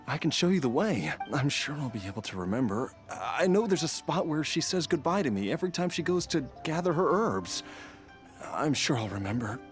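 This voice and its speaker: A frail husband voiced by a man speaks in a mid-pitched, earnest voice, each line heartfelt and pleading. Quiet game music sits faintly underneath. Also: lightly acted. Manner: earnest, pleading, urgent delivery. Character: frail man desperate to find his wife, earnest. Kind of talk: video game voice acting.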